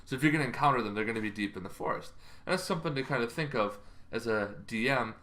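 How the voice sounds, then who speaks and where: A man's voice: mid-range voice, fast talker, a bit bright. A man hosting an informal, unscripted explainer, thinking aloud in a rambling, hesitant way. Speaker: YouTube host giving game lore advice.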